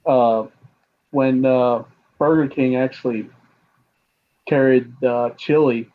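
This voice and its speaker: A man hosting a casual chat, hesitant, with many pauses and fillers. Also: mid-range voice, fairly even intonation. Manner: halting, lots of uh fillers. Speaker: host of a chat stream. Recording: tinny phone-like line.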